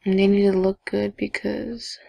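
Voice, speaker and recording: A young woman chatting casually to viewers while she builds a game avatar, talking to herself with giggly asides in a low evening voice. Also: level tone, normal speed, vocal fry, dark tone, dry recording. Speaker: gaming vlogger. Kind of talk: casual screen-recording commentary.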